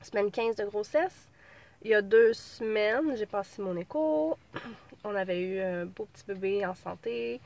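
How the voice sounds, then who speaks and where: A woman's voice: mid-range voice, expressive, a little slow, gravelly, a little mellow. A woman in her thirties with a Québécois French accent talks casually from inside her car. She has a cold and sounds a little stuffy. She rambles and restarts, with plenty of fillers. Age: 30s. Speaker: pregnancy vlogger. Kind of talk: casual vlog chat.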